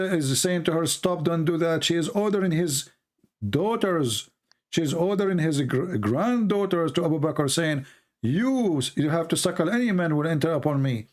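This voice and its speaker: A man hosting a heated religious debate, cutting in and pressing his points with rapid rhetorical questions. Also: a little high, darkish tone. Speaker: debate host.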